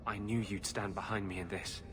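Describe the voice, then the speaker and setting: A man's voice: on the low side, steady tone, a little fast, over music, natural voice. A man voicing an earnest, driven mage in a video game, speaking intently and with a guarded air. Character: earnest, driven male mage hiding something.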